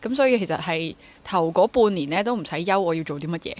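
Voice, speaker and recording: Female interview guest, twenties, lowish voice, Hong Kong Cantonese accent, chatty and candid. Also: expressive, quick pace. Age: 20s. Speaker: guest, stage actor. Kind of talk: casual interview chat.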